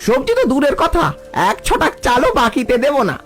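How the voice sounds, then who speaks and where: A man's voice: very high-pitched, big pitch swings, a little fast, fairly bright tone, soft background music, exaggerated voice. A man voicing a greedy, callous village grocer in a folk-tale cartoon, scornful and scheming. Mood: scornful, dismissive.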